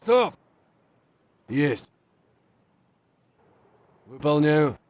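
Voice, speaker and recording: An actor voicing a gruff male Russian soldier giving terse squad orders in a video game, with a Russian accent. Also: a little high, sing-song, very slow, no echo, studio quality, exaggerated voice. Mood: terse, commanding.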